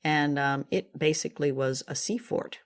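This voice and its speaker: A woman's voice: deep voice, moderate pace. A woman hosting a radio show in a casual, chatty style, with a light, playful manner. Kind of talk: casual hosted chat.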